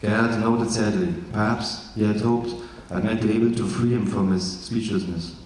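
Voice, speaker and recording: A man from East Germany with a German accent, reading from his memoir in careful, slightly halting English with jokey asides. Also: on the low side, fairly even intonation, darkish tone, slight background noise. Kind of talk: live literary reading. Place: large event hall. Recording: echoey hall from far off.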